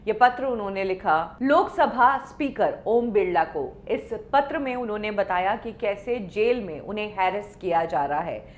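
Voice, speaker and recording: A woman's voice: medium pitch, animated, normal speed, few pauses. An Indian woman presenting a scripted news explainer in an emphatic storytelling manner.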